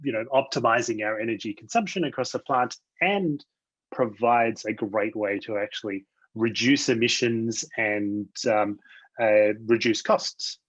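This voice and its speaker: A man's voice: fairly low pitch, measured pace, a bit bright, dry recording. Easygoing Australian man on a dull call. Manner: easygoing, lightly self-deprecating, with fillers. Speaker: invited speaker. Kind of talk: webinar presentation. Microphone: video call. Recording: dry, dull lo-fi video call.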